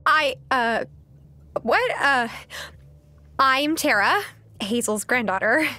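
Bright, high-voiced young newcomer. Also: female voice, animated, slow pace, full character voice. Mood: hesitant, earnest. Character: friendly young woman newly moved to a rural island. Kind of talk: video game voice acting.

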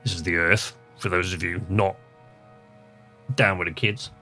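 A man's voice: low-pitched, steady tone, unhurried, bright, forceful tone, soft background music. A man casually commentating on a game he plays, chatting and muttering to himself and reading on-screen text aloud. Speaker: let's play host.

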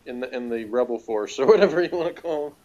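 Male co-host, medium pitch, informal and wry, feeling his way through sentences. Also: animated, fast, dark tone, highs cut off. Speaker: co-host of a TV review discussion. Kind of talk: casual review chat.

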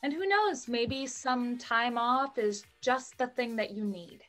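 Young woman acting bright, sassy doting mother. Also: on the high side, slow pace. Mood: tender. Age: young adult. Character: doting, sassy, blunt fifty-year-old mother. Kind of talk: acted play reading. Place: home bedroom, indoors. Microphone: video call on computer.

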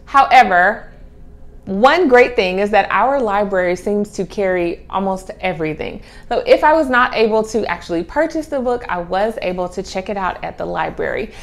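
A woman's voice: mid-range voice, expressive, normal speed. A middle-aged woman chatting casually to camera as a homeschooling vlog host, stretching and repeating key words for emphasis. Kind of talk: casual chat to camera, presenting children's books. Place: small home room with sloped ceiling. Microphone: clip-on lapel mic.

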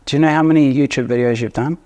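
A man with a New Zealand accent, a mortgage broker guest on a podcast, joking and self-deprecating with a smooth, warm voice. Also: medium pitch, quick pace. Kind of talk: casual interview chat.

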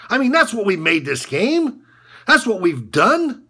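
A man performing a dramatic monologue as a bitter, disillusioned basketball coach, speaking in a halting, repetitive, sardonic way with a husky edge. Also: high-pitched, huge pitch swings, sharp tone, full character voice. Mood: bitter regret. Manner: halting, repetitive, sardonic, swinging from muttering to outbursts. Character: disillusioned, bitter male college basketball coach confessing corruption. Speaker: actor performing a film monologue.

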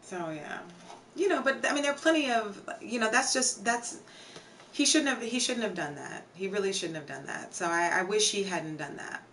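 A young woman with a hoarse, tired voice, chatting casually with her viewers on a home live stream and filling her talk with "like" and "um". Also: mid-range voice, lively intonation, moderate pace, a bit bright, distant mic, slight background noise. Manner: chatty, reads out chat names, many fillers. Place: home streaming room with shelves.

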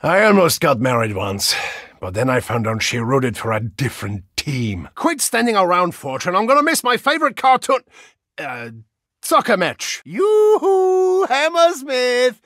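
Bombastic German hooligan, wildly animated. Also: male voice, high-pitched, measured pace, no echo, studio quality, heavily acted. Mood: fired up. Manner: bombastic, comically theatrical. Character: boisterous German soccer-hooligan brawler with a soft side. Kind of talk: animated video game character lines.

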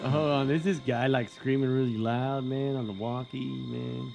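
A man's voice: medium pitch, steady tone, normal speed, gravelly, darkish tone, faint music behind, close to an everyday voice. A man voicing a spaced-out stoner counselor in a game, drawling and tacking on "man". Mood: dazed. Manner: drawling, ends lines with "man".

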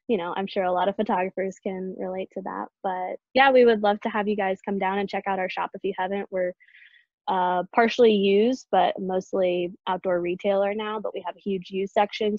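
A woman's voice: medium pitch, no echo. A woman speaks for an outdoor shop on a video call, easygoing and rambling. The sound is dry and somewhat dull, as over a call. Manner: relaxed, rambling with fillers. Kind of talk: casual video call chat.

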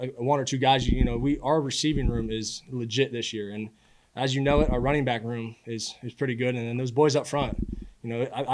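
A man in his early twenties speaks at a quick clip in a mid-range voice. He sounds like a college athlete at a press conference, casual and earnest, often repeating a word as he gathers his thought. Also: medium pitch, slight background noise, rough recording. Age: early 20s. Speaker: college football quarterback. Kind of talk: postgame press conference answers. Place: indoor press room at a podium. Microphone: podium microphone.

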